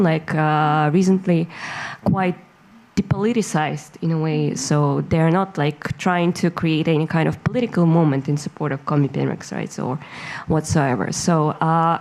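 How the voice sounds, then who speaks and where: A woman's voice: lowish voice, a little mellow. A woman speaking as a panelist, thinking aloud and hedging with fillers. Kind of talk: panel discussion.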